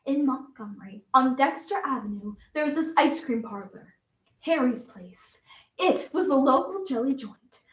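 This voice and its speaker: A woman's voice: high-pitched, animated, moderate pace. A woman playing a flamboyant, wistful Southern belle flapper in a theatrical stage monologue. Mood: playful reminiscing. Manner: dramatic, swinging from coy reminiscence to defiant outbursts. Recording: roomy echo, distant microphone.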